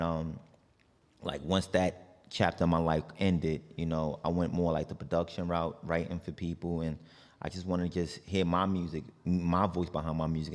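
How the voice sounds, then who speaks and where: A man with a very deep voice and a New York accent chats through his story in a relaxed, rambling way. He leans on fillers and trails off between thoughts. The sound carries a long hall reverb. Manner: relaxed, rambling, lots of "like" and "you know". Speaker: guest, singer and artist. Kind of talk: casual interview chat.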